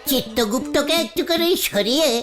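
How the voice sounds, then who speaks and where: A woman's voice: high voice, lively intonation, moderate pace, music in the background. A woman voicing a boastful, cowardly cartoon uncle who blusters and stammers when startled. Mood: pleading, boastful. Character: boastful cowardly uncle craving fame as martyr. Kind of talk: cartoon voice acting.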